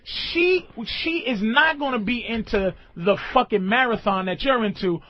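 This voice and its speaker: A man's voice: very high voice, lively intonation, moderate pace. A man in his thirties hosting a bawdy radio advice show, talking in a blunt, profane, slangy way. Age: 30s. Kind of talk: bawdy advice banter with callers. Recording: dull lo-fi radio recording.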